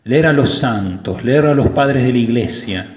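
A priest preaching a sermon at Mass, slow and emphatic, stressing key words. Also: male voice, medium pitch, a little mellow. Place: church. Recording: roomy church with long reverb.

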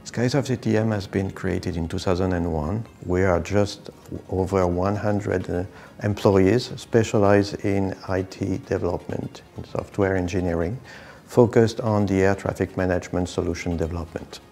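Middle-aged male executive, dark relaxed timbre, interview delivery for a company film. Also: low-pitched, moderate pace, gravelly. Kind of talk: corporate video interview.

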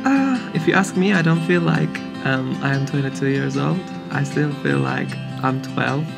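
A man in his twenties chatting casually into a handheld camera in a student flat, giggly and self-mocking. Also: mid-range voice, moderate pace, creaky. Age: 20s. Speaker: vlogger. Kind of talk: casual vlog chat. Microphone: handheld action camera. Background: background music.

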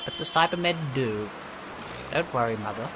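A man's voice: medium pitch, lively intonation, normal speed, natural voice. Man doing a smug collaborator, patronizing and self-assured, street noise behind. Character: smug middle-aged collaborator son.

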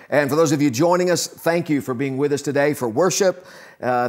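An older man preaching a sermon in a conversational, earnest way, with frequent "uh" fillers, from a living-room church set. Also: on the high side. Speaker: pastor.

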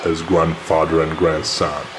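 A man playing a pompous, commanding masked dark-lord grandfather in a cartoon parody. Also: rumbling low, flat delivery, measured pace. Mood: imperious. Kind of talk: cartoon parody character acting. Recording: loud background noise.

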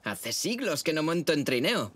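A man's voice: on the high side, sing-song, normal speed, a bit bright. A man voicing a playful, clumsy father zebra in a dubbed cartoon, teasing and prone to yelps and whoops.

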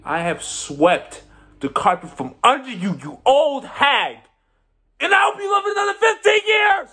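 A man acting a theatrical monologue as a bitter, menacing self-made Italian businessman out for revenge, speaking deliberately with pointed pauses in a husky voice. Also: on the low side, heavily acted. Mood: furious.

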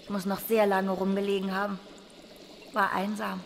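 A woman playing a dreamy, smitten angel in a German radio play, talking haltingly. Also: mid-range voice, level tone, gravelly, a little hiss or hum, natural voice. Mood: dreamy wonder.

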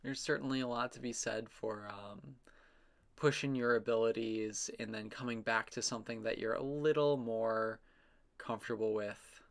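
A man's voice: medium pitch, fairly even intonation, normal speed, vocal fry. A man narrating his own drawing process in a casual, unscripted voice-over, rambling and self-amused as his musings trail off.

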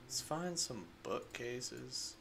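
A man's voice: mid-range voice, extremely slow, vocal fry, bright, forceful tone, a little hiss or hum. A congested man rambling through casual, unedited gameplay commentary and talking to his chat. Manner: rambling, chatty, addresses chat as guys. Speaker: gaming creator.

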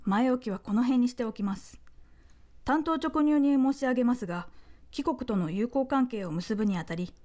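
A woman playing a composed, courteous royal envoy in a radio drama, with very polite, measured, deferential speech. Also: on the high side, animated, mellow, natural voice. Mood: composed diplomatic. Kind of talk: radio drama acting, formal diplomatic speech.

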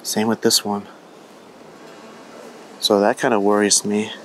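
A young man vlogging casually as he walks through an abandoned mansion, chatty and excitable. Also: fairly low pitch, unhurried, a little hiss or hum, low-quality recording. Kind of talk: casual walkthrough vlog. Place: indoors in an abandoned house.

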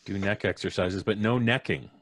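A man's voice: deep voice, moderate pace, fairly bright tone, no echo. A middle-aged man hosting a live stream with casual, jokey banter, close on a studio mic. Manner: jokey, playful. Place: indoor home studio. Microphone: studio mic on boom arm, headphones.

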